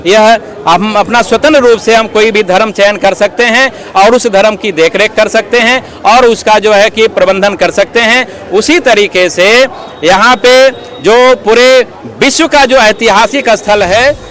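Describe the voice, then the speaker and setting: High-pitched man, activist interviewee, formal, earnest, argumentative, filler phrases, outdoor street interview. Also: a little slow, a bit bright.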